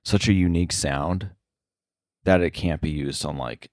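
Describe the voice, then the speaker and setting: A man talking casually as an interview guest, halting often and correcting himself as he goes. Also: a bass voice, a little mellow, dry recording, studio quality. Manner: halting, filler-heavy, self-correcting. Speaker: podcast guest, sound editor.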